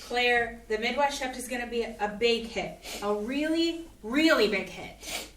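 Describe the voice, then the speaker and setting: A woman's voice: a little high, unhurried, fairly bright tone. A woman playing a trendy, enthusiastic publishing scout in a scripted stage reading. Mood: upbeat, persuasive. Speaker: actor in a staged play reading. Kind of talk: scripted community theatre acting. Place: indoor playhouse staged reading. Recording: echoey room, distant microphone.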